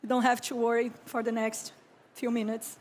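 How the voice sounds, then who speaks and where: A Brazilian woman, an architect and urban planner in her thirties, delivers a keynote on a conference stage. Her English carries a Brazilian Portuguese accent and her voice sits high. She speaks in a slow, calm, reflective way and often clears her throat. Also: slight background noise.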